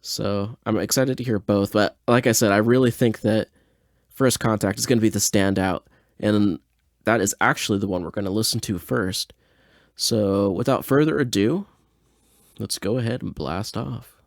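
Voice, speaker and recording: Male podcast host: informal and rambling, lowish pitch, very dry close-mic sound. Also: moderate pace, dry recording. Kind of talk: casual, chatty show introduction.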